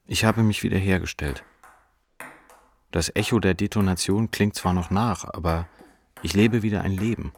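An actor playing a despairing, sardonic narrator living through depression, speaking an introspective literary monologue in clipped fragments for a radio play. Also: male voice, deep voice, moderate pace, long pauses, a little hiss or hum. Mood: reflective. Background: music.

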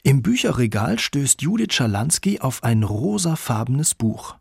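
A man's voice: medium pitch, big pitch swings, measured pace, gravelly, breathy edge, no room sound, clean studio sound. A man reading scripted narration as the narrator of a radio documentary.

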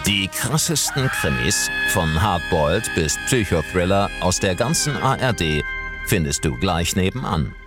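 A man's voice: deep voice, very animated, measured pace. A man reading a scripted promo as an announcer. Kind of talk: podcast promo read. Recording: loud music behind.

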